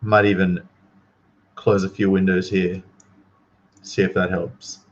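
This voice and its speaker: A man's voice: deep voice, little pitch movement, slow pace, muffled recording, slight background noise. A man giving casual, opinionated commentary to livestream viewers in an incredulous, emphatic, slangy manner.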